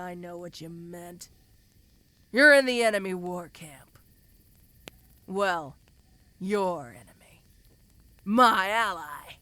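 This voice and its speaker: A bright, forceful giantess ogre warrior acted by a woman, recorded close and dry. She is domineering yet casual, teasing her small captive with easy condescension in a fantasy audio roleplay. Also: medium pitch, clear, resonant tone, no room sound.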